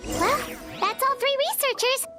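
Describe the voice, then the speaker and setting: A woman voicing a tiny, childlike female floating companion in a video game, squeaky, chirpy, chatty and blunt. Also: a little slow. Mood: musing. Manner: chirpy, speaks of herself in third person. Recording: game music behind the voice.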